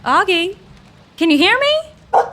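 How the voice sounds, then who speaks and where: A bright, high-voiced small-town woman, acted by a woman for an audio drama. She is plucky and folksy, with old-fashioned slang and a very animated melody, over faint background noise. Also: slow pace, exaggerated voice. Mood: alarmed. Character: plucky, brisk small-town woman, Sonya, loyal but alarmed.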